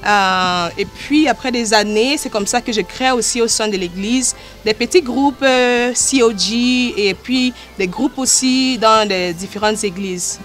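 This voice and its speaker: Cameroonian woman, higher-pitched, in an interview. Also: a little slow, a bit bright, faint music behind. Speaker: gospel artist and choir director being interviewed. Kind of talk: interview talk about life and music.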